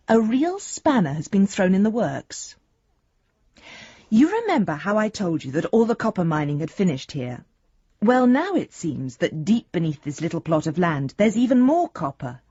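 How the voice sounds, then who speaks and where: An earnest young daughter reading her letter aloud in a radio drama. Her voice is mellow and a little husky, chatty and sincere. The sound is dry and close. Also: on the low side, dry recording, highs cut off. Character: earnest, affectionate grown daughter writing to her father.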